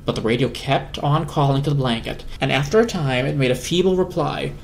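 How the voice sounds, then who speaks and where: A man's voice: mid-range voice, a little fast, gravelly, slight background noise. A man narrating a children's story as a dramatic reading.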